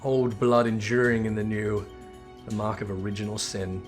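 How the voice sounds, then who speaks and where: A man's voice: lowish voice, steady tone, moderate pace, soft background music, close to an everyday voice. A man playing a solemn storyteller, narrating a fantasy game saga like a storybook. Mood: grave.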